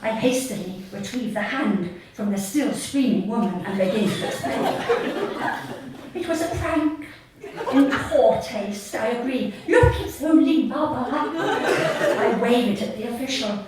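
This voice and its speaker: A woman reading her comic short story live to an audience, in a deadpan, measured way with deliberate pauses. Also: on the high side. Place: theatre stage at a live reading event. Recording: echoey hall, distant mic, audience noise. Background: audience laughter.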